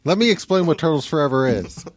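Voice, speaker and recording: A man's voice: a little high, animated, a little fast, slight background noise. A man patiently explaining a favourite in a casual podcast chat, firmly opinionated. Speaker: podcast co-host.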